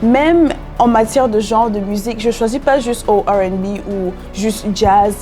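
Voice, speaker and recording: A woman's voice: fairly high pitch, normal speed, warm tone, soft background music. A young woman from Cameroon, a singer-songwriter guest, talking reflectively in a casual interview with many fillers and restarts. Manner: reflective, frequent fillers and restarts, laughs easily.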